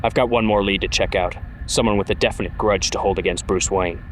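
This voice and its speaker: A man acts as a young masked vigilante in an audio drama. His voice is fairly low, and he speaks in terse, probing, skeptical lines. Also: steady tone, fairly quick, a little hiss or hum, lightly acted, low-quality recording. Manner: terse, questioning.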